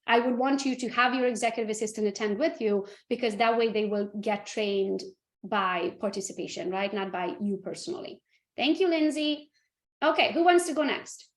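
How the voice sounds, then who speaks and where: A woman with a fairly high voice leads a training session, speaking in a roomy, echoing space. She keeps checking in with her audience by asking them to confirm each point. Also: normal speed. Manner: checks in with the audience using tag questions. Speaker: host and real estate team leader. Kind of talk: live training talk. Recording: roomy echo.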